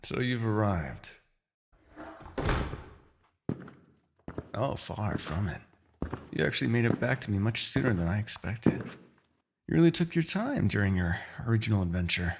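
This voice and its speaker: Man acting a haughty, gravelly demon king. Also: fairly low pitch, very animated, noisy background. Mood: smug, taunting. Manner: theatrical, taunting, drawn-out dramatic delivery. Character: haughty male demon king, seductive, menacing, obsessive villain. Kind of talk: scripted fantasy ASMR roleplay acting.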